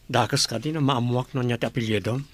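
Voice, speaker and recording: A man playing a warm-hearted, easygoing older brother from a poor household in an Ilocano audio drama. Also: medium pitch, steady tone, fast talker, dry recording, close to an everyday voice. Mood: probing.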